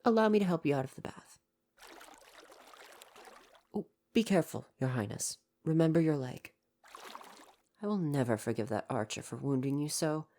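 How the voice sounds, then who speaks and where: A man's voice: mid-range voice, darkish tone. A man playing a devoted, proper royal butler in an ASMR roleplay, speaking to the listener in a formal, deferential, fussily attentive way. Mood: protective, solicitous.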